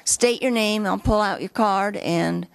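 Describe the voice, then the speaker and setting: A woman moderating a public meeting, calling up speakers in a brisk, practical way. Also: mid-range voice, creaky, dry recording. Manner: brisk, practical, gives instructions.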